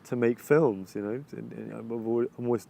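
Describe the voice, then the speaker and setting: A man with an English accent, answering interview questions in a halting, reflective way, often restarting sentences while he searches for words. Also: mid-range voice, fairly even intonation, vocal fry, dark tone. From England. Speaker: videographer interviewee. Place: outdoors overlooking the ocean.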